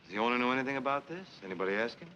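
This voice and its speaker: An actor playing a relaxed priest with a dry, joking manner, in a 1940s film. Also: male voice, mid-range voice, very fast. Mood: easygoing. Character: easygoing young priest, wry and relaxed. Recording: dull 1940s film soundtrack, faint hiss.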